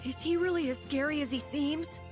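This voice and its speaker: A woman's voice: high-pitched, a little fast, close to an everyday voice. An actress playing a feisty, inquisitive and direct young girl in a video game. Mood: curious. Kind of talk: scripted video game voice acting. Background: game music.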